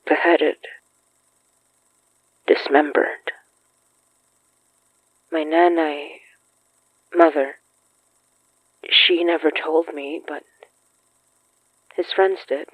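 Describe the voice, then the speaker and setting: A woman's voice: sits low, level tone, very slow, pauses often, breathy edge, dry recording, phone-quality sound, exaggerated voice. A woman playing an earnest, stubborn young woman who confronts ghosts in an audio horror drama. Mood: sombre, quiet. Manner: earnest, insistent, pleading.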